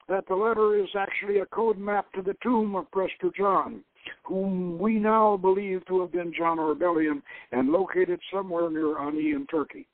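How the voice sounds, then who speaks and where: A man's voice: high voice, dry recording. A man giving a dramatic reading of an adventure novel as a narrator, over a thin phone-like radio line. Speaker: host reading his adventure novel aloud. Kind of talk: dramatic audiobook-style reading.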